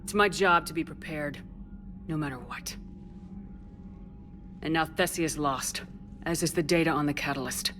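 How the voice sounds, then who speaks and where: A woman's voice: sits low, moderate pace, long pauses, a bit bright, a little hiss or hum. A woman playing a weary, resolute military commander in a science fiction game, with a terse, commanding delivery. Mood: determined, frustrated. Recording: game music behind the voice.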